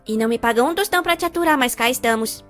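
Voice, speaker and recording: Woman doing a cheeky high-pitched little Brazilian girl. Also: expressive, very fast, fairly bright tone, faint music behind. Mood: sassy, defiant. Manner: bratty, mouthy, defiant. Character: cheeky, sassy little girl in a cartoon story. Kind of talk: animated storytime fandub.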